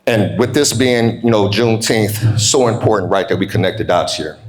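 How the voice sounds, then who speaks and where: American man giving an echoey motivational keynote. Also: on the low side. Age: 40s. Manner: emphatic storytelling with dramatic pauses and colloquial call-outs to the crowd. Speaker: keynote speaker, business coach. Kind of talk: motivational keynote speech to a live audience.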